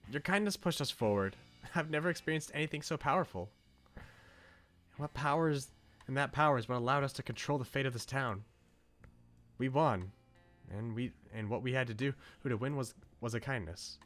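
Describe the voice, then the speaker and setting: A man's voice: medium pitch, normal speed, fairly bright tone, lightly acted. A man voicing an earnest, devoted young woman from a visual novel, reading her lines with emphasis. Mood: indignant. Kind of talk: reading visual novel dialogue aloud in character. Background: game music.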